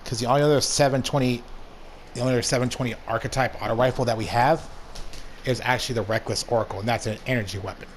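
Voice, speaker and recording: A young man with a hoarse voice from allergies, casually talking through a game review as the channel's host. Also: medium pitch, steady tone, quick pace, a little hiss or hum. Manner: laid-back, plain-spoken, rambling asides. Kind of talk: casual gameplay commentary.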